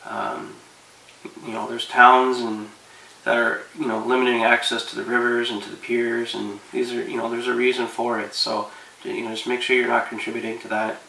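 A man in his thirties giving relaxed, rambling fishing advice to camera from his living room couch, with lots of fillers. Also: medium pitch, normal speed, creaky, reverberant, recorded from a distance, rough recording. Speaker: fishing vlogger.

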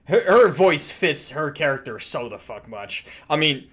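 A young man giving joking, slangy, excitable gaming commentary. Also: a little high, a little slow, breathy edge. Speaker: gaming YouTuber.

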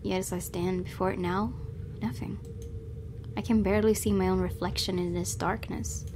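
Woman narrating a visual novel, mid-pitched. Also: steady tone, normal speed, background noise. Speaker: let's play host. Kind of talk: dramatic read-aloud narration of a visual novel.

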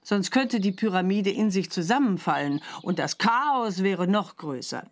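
A woman voicing a kindly, slightly flustered witch mother in a cartoon game, explaining things in an expressive, motherly way. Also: mid-range voice, measured pace, natural voice.

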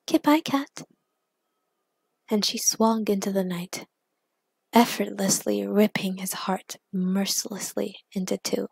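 A woman's voice: mid-range voice, level tone, a little slow, gravelly, a little mellow, husky, no room sound. A woman reading scripted fiction as the narrator of a story. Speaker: narrator and writer of fan fiction.

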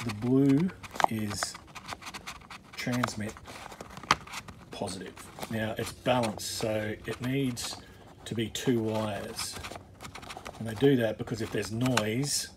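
A middle-aged man giving a casual, off-the-cuff DIY walkthrough, halting and rephrasing as he explains, recorded on a handheld phone. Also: on the low side. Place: on a boat. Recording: handheld phone recording, clear ambient noise.

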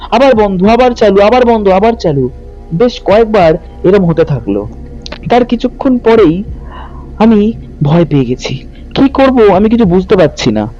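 A young man tells a ghost story in the first person. His voice is high for a man yet mellow in tone. He speaks conversationally with suspenseful pauses, over a clear bed of music. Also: muffled recording. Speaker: horror story narrator. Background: background music.

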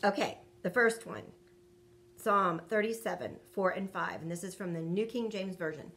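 Woman in middle age, casual faith chat and bible reading, affirming, medium pitch. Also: moderate pace, fairly bright tone, a little hiss or hum. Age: middle-aged. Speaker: co-host and scripture reader. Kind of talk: casual devotional talk and scripture reading. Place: furnished room at home.

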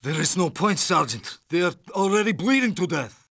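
A man's voice: sits high, very animated, normal speed, fairly bright tone, dry recording, clean studio sound, heavily acted. A man with a Russian accent voicing an idealistic young Soviet soldier troubled by brutality in a video game. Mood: grave, disapproving. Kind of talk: video game character voice lines.

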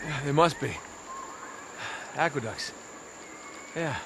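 A man's voice: on the high side, expressive, slow pace, noisy background. An actor playing a cocky, flippant adventurer in his thirties in a video game cutscene, quippy and sarcastic. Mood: thoughtful.

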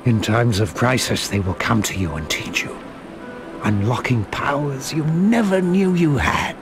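A man playing a wise, solemn old dragon mentor in a video game, gravelly, with a slow, measured and grave delivery. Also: mid-range voice, animated. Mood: hushed, rising intensity. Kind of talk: dramatic video game cutscene acting. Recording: music behind the voice.

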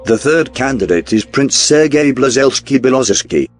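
A man reading scripted documentary narration in a formal, measured newsreel style. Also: mid-range voice, animated. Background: background music.

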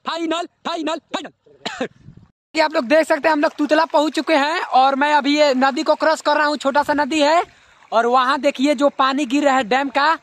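A young man filming a travel vlog on his phone at arm's length, talking excitedly as he crosses a river and walks to a waterfall. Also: very high voice, moderate pace. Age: young adult. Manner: excited, calling out and cheering. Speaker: travel vlogger. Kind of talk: casual travel vlog narration. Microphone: phone selfie at arm's length. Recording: noisy outdoor phone recording.

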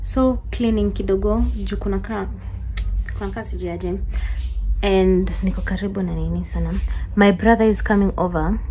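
A woman's voice: medium pitch, steady tone, moderate pace, dark tone. A young woman with a Kenyan accent chatting casually and informally to a handheld camera as she vlogs, slipping between two languages in slangy speech. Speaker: vlogger. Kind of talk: casual vlog chat, mixing English and Swahili. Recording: noisy handheld vlog, car and room ambience.

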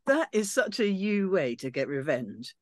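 A woman's voice: lowish voice, sing-song, measured pace, darkish tone, no room sound, studio quality. A woman on a video call reading the part of an easygoing, blunt young woman in her twenties. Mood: earnest.

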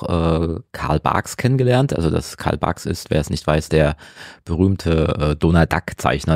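A man hosting a conversational interview, explaining things hesitantly with many filled pauses. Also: very deep voice, vocal fry, a little mellow, no room sound, studio quality. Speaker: interviewer, podcast host.

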